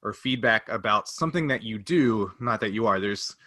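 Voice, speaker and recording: A man's voice: lowish voice, animated, normal speed, bright, forceful tone, dry recording, clean studio sound. A man hosting a casual podcast discussion, explaining things in a loose, hedging way. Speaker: podcast host.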